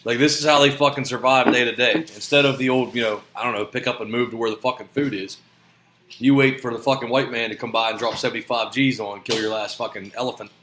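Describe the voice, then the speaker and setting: A crude, wisecracking man chimes in during casual banter, and his voice has a bright, forceful edge. The sound is echoey, like he is recorded in a roomy space. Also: mid-range voice, steady tone, fast talker, a little hiss or hum. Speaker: podcast co-host. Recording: echoey room.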